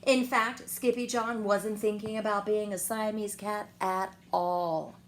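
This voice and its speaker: A woman reading a children's storybook aloud in an expressive storytelling manner. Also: medium pitch, measured pace. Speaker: storybook reader. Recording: some background noise.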